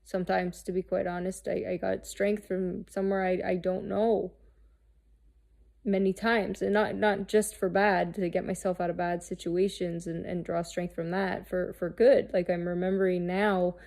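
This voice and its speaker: A woman in her thirties, talking candidly and haltingly about herself, restarting often and swearing freely. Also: fairly low pitch, little pitch movement, vocal fry, a little mellow. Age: 30s. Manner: halting, searching, with many restarts and fillers. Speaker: host. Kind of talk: candid personal monologue.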